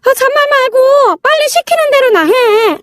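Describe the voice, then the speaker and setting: Bossy elder sister-in-law played by a female narrator, very high voice, blunt, scolding, short-tempered, for a dry-recorded story drama. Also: lively intonation, moderate pace, no room sound. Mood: furious. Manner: scolding, talks down in blunt informal speech. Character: rude, bossy, shrill elder sister-in-law, quick to anger.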